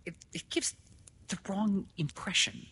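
Man putting on a high, prim woman. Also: measured pace, sharp tone, slightly rough, full character voice. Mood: uneasy objection. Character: prim woman, softly disapproving.

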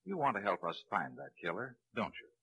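An actor playing a shrewd police lieutenant in a crime drama, a probing interrogator who can sound falsely kindly. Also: male voice, low voice, sing-song, fairly quick, pushed and bright, husky, exaggerated voice, low-quality recording. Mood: coaxing. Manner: probing, soothing then blunt. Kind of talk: dramatic film-noir crime acting.

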